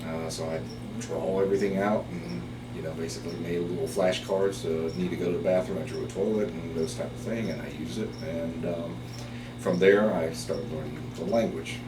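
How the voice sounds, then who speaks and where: Middle-aged man from Taiwan, Chinese first language, low voice, halting interview delivery, recorded roomy and off-mic with hiss behind. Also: fairly even intonation, recorded from a distance. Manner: hesitant, many fillers, unhurried. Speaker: biology professor. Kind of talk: interview storytelling. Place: office.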